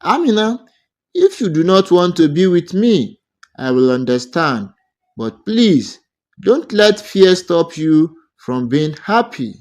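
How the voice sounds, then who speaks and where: A man voicing a gentle, patient city suitor in a folktale, speaking with reassurance. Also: sits high, very animated, slow, exaggerated voice. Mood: gently concerned.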